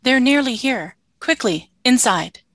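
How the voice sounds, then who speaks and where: A woman's voice: fairly high pitch, animated, unhurried, fairly bright tone, no echo, clean studio sound, full character voice. A woman playing a stern, obedient female alien soldier in a radio play, speaking in formal, clipped, literal statements. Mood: urgent.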